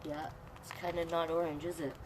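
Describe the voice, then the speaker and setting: A middle-aged woman with a very low voice hosts storytime for children. She explains pictures and prompts young listeners between bits of reading. There is clear background noise on the recording. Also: flat delivery, normal speed. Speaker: online storytime host and reader. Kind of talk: children's storytime, reading aloud with chatty asides.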